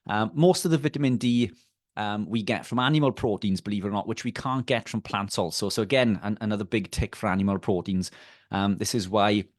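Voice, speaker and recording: A man's voice: fairly low pitch, brisk, no echo, studio quality. A man with a Welsh accent, a guest on a video call, explaining health topics in a casual, hesitant way with many fillers and restarts. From Wales.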